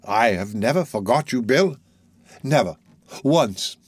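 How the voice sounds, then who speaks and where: Man putting on a slow, oily, fawning old fence, crafty, storybook, dry sound. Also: mid-range voice, lively intonation, breathy edge, no room sound. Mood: wheedling.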